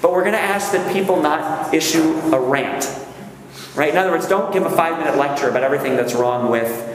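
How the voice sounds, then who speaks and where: Rabbi addressing a gathering, conversational, keeps asking "right?", booming reverb from a big hall. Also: male voice, fairly quick. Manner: conversational, checks in with the audience. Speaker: rabbi leading a workshop. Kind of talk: speech to a gathering. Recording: echoey hall, faint room noise.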